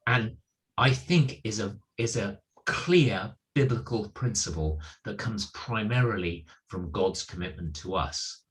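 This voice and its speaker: A man's voice: fairly low pitch, animated, measured pace, poor audio. A middle-aged man giving an earnest sermon, stressing and repeating his key points emphatically. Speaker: preacher at a church. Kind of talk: sermon, reflecting on a Bible passage.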